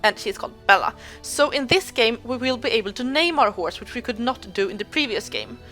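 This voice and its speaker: Young woman, higher voice, chatty game walkthrough host, light music underneath. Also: expressive, brisk, bright, forceful tone. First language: Swedish. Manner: chatty, explanatory, self-correcting. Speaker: gaming video host. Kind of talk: let's play walkthrough commentary.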